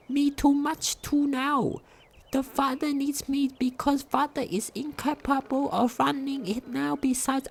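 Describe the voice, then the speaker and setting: A woman's voice: sits high, creaky. A woman reading diary entries aloud for a game commentary, stumbling over words and restarting as she goes.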